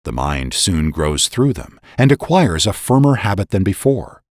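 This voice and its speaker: A man's voice: deep voice, big pitch swings, normal speed, a little mellow, no echo, studio quality. A man reading scripted historical narration in a solemn, oratorical way with emphatic phrasing. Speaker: podcast narrator and reader.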